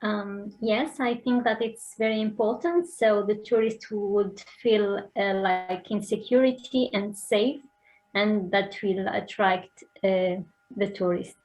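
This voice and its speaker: A woman's voice: medium pitch, slow, a little mellow, rough recording. A woman from Algeria with an Algerian accent, an English learner speaking hesitantly with many fillers.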